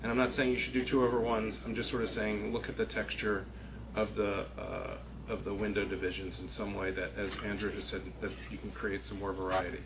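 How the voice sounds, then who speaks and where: Male board member, lowish voice, tentative and searching delivery, small meeting room with clear noise. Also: level tone. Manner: halting, hedging, thinking aloud. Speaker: architectural review board member. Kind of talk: spontaneous critique at a public meeting. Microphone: distant room camera. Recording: noisy meeting-room recording.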